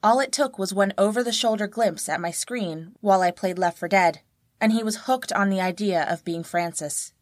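Woman reading a story, medium pitch, dry close mic, no echo at all. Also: moderate pace. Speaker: guest story narrator. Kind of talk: scripted audio story narration.

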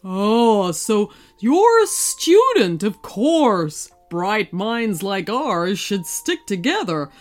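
A woman voicing an excitable, theatrical old male wizard in a children's story, friendly and grand, with drawn-out exclamations. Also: medium pitch. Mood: friendly, bargaining.

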